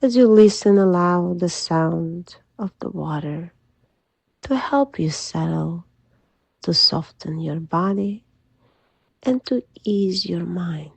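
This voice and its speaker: Very slow, breathy woman guiding sleep hypnosis. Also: on the low side, dry recording. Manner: soothing, gentle, often breathy near-whisper. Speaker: hypnotherapist guide. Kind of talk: guided sleep hypnosis meditation.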